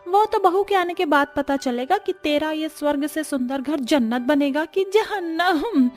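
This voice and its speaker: A woman voicing a nosy, blunt middle-aged neighbour in an animated family story, teasing and gossipy. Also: high voice, a little slow, faint music behind. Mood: taunting.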